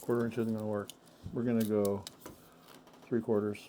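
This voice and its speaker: A man talking through a do-it-yourself boat project as he works, thinking aloud in halting, self-correcting bits. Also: fairly low pitch, little pitch movement, background noise. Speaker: boat owner doing a DIY project. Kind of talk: casual DIY narration while working.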